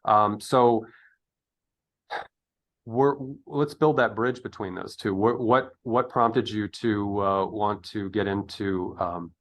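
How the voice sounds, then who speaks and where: A man hosting an interview show in a conversational style, with halting, rambling delivery and chatty asides. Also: lowish voice.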